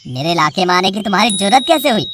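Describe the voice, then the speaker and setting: A woman's voice: mid-range voice, expressive, fast, noisy background, natural voice. A woman voicing an arrogant young man, a priest's son, in a cartoon story, speaking in a bossy, scornful tone.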